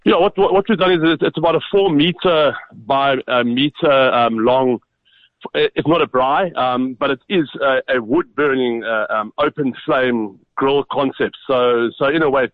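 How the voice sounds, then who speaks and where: South african guy calling into radio, muffled narrow phone sound, chatty, bit halting. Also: mid-range voice, no echo. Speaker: restaurant owner, phone-in guest. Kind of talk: casual interview explanation. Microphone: telephone.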